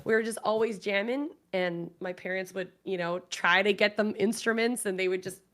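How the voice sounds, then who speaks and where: A woman's voice: mid-range voice, fairly even intonation, brisk, a bit bright. A woman chatting casually as a talk show guest, enthusiastic and informal, swearing freely. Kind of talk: casual chat.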